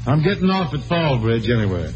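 An actor playing a wry, easygoing young doctor with wanderlust, in 1940s radio style. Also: male voice, medium pitch, big pitch swings, brisk, close to an everyday voice. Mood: wry, teasing. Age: young adult. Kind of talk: 1940s radio acting and narration. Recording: dull old radio recording with music.

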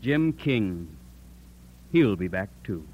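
Stern, righteous young radio hero. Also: male voice, lowish voice, big pitch swings, takes it slowly, highs cut off. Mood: quiet resolve. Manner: earnest, emphatic, declamatory. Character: stern, righteous young man confronting his enemy. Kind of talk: 1950s radio drama acting. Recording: vintage radio drama recording.

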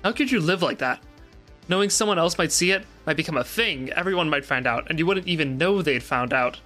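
Man performing an obsessed male witness, distressed, bright forceful voice, repetitive, horror audio drama reading, music behind. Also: a little high, expressive, a little fast. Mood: frantic dread. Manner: agitated, repetitive, swearing. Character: obsessed, distressed male interview subject haunted by a shipwreck. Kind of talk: dramatic reading of an interview transcript.

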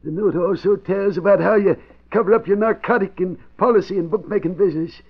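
An actor playing a cunning old man, nervous but defiant, with a thin voice and halting delivery in an old radio crime drama. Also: sits high, slightly rough. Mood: defiant, measured. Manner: halting, drawn-out delivery with hesitations. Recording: dull, band-limited vintage radio recording.

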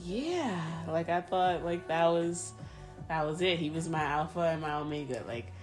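Woman guest, Spanish first language, slightly low voice, chatty and giggly; faint music behind. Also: lively intonation, moderate pace. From New Jersey. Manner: chatty, full of "like" and laughter. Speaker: podcast guest. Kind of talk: casual interview.

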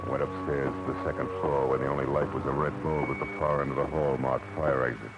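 Very deep hard-boiled private eye. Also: male voice, flat delivery, quick pace, very noisy. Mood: wry, hard-boiled. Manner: hard-boiled, slangy, clipped. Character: tough, world-weary male private eye in love. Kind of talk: 1940s-50s radio detective drama acting with first-person narration. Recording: dull vintage radio broadcast, loud music.

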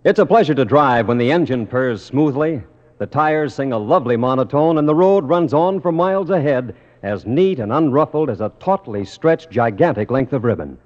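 A male radio pitchman delivers a scripted sponsor message in the 1950s manner. His reading is polished and measured, with widely moving intonation. The sound is dull and lo-fi, like an old broadcast. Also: animated, a little mellow. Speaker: radio advertisement announcer.

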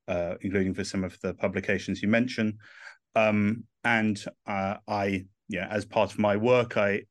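A thoughtful English man in his thirties, talking through his ideas with frequent "uh" pauses. His voice sits low, and the recording is dry and close with no room sound. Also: no echo. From England. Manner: thoughtful, many "uh" fillers. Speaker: author and guest. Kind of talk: podcast interview.

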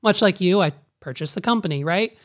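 A man with a raspy voice that people often take for a woman's, a business owner telling a story in casual conversation, jokey and confiding. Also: high voice, fairly quick.

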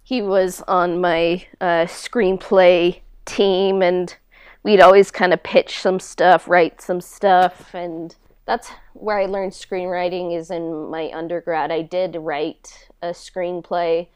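A woman's voice: on the low side, little pitch movement, takes it slowly, clear, resonant tone, dry recording, clean studio sound. A woman speaking in a casual interview, informal and hesitant, with a self-deprecating manner. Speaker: podcast host and audio drama writer being interviewed.

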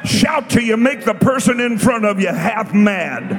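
A high-pitched American man in his sixties preaches in a big reverberant hall over loud music. He shouts and exhorts in fiery Pentecostal revival style, hammering repeated phrases. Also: lively intonation, a little slow. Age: 60s.

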